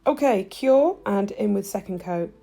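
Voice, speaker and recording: A woman with a stuffy, nasal voice, chatting warmly through a nail tutorial as she works. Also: medium pitch, lively intonation, a little slow, vocal fry, soft background music. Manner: chatty, gushing, talks while working. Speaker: nail technician demonstrating gel polish. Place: home nail studio.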